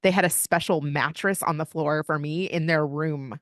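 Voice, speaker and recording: A woman's voice: low-pitched, fairly even intonation, normal speed, a bit bright, dry recording, studio quality. A woman from Kentucky, a podcast guest, telling family stories in an open, chatty way. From Kentucky, United States. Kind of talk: casual interview conversation.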